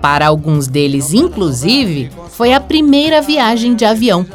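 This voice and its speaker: Female news voice-over, even and measured. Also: fairly high pitch, soft background music. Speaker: narrator. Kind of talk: scripted news narration.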